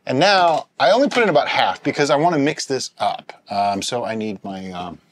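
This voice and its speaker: A man's voice: medium pitch, a little fast, slight background noise. A middle-aged man hosting a home brewing show, casually explaining each step as he works at a table. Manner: animated, explains steps while handling gear. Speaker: homebrewing show host. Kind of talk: casual instructional chat. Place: home studio with shelves of bottles.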